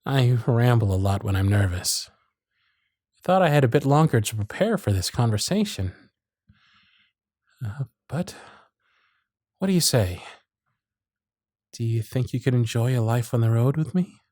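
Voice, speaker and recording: A man playing a lovestruck, nervous circus performer in an intimate ASMR roleplay, speaking earnestly and haltingly. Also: mid-range voice, animated, a little mellow, no room sound, clean studio sound, natural voice. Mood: flustered, hopeful. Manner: hesitant, breathy, earnest, halting delivery.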